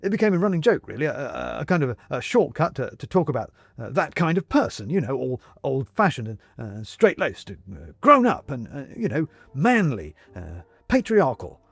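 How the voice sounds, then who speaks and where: A man playing a flustered, self-justifying middle-class man in a storybook, stammering and haltingly repeating his words. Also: medium pitch, wildly swooping pitch, creaky, husky, dry recording, studio quality, heavily acted. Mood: rambling, defensive.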